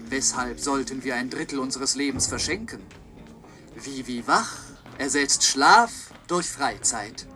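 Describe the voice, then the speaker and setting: A slick commercial announcer in a radio drama, bright and forceful, pitched fairly high for a man. He is upbeat and emphatic like a salesman, with faint music underneath. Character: slick, upbeat advertising announcer for a wonder drug. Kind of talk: radio play parody of a commercial.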